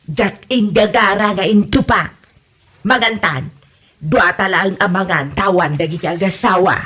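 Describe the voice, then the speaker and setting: A woman playing a cranky, curt mother-in-law with a husky voice and an Ilocano Filipino accent in a comedy audio drama. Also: medium pitch, moderate pace, a bit bright. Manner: curt, dismissive. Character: grudge-holding, cranky mother-in-law snapping at her family. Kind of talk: comedy radio drama acting.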